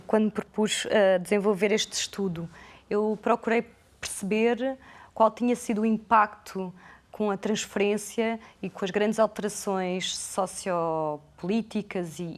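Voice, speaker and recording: Hesitant woman researcher in an interview. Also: medium pitch. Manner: thoughtful, hesitant, many restarts and repeated words. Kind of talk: broadcast interview answers.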